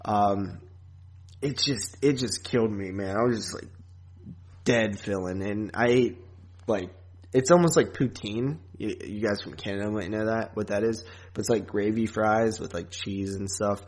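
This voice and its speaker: Low-voiced man chatting casually as podcast host. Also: moderate pace. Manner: rambling, informal, with frequent chuckles. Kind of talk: casual chat about horror movies and podcasting.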